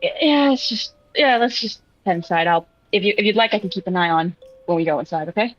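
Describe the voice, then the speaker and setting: A woman playing a tabletop role-playing game, improvising her character's actions and lines with halting, hesitant phrasing. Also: mid-range voice, dull audio, soft background music. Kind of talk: casual improvised role-play narration.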